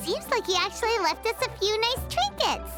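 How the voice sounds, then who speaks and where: A woman voicing a cheeky, childlike talking fairy companion in a video game, with a squeaky voice and an exclaiming manner. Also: big pitch swings, fairly quick. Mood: curious. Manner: speaks of herself in third person, exclaiming. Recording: music playing behind.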